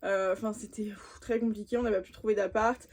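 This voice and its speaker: A woman's voice: mid-range voice, steady tone, brisk, a little mellow. A young adult woman from France, chatting informally and using slang in a casual makeup vlog. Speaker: vlogger.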